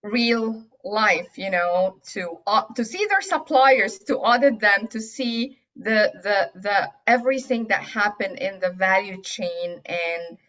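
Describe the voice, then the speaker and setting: This is a woman whose first language is Arabic, speaking in an unhurried, halting way with frequent fillers. She answers casual interview questions as a guest. The audio is dull and lo-fi. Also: medium pitch, expressive, fairly bright tone. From Egypt. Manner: hesitant, many fillers and restarts. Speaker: guest aromatherapy teacher.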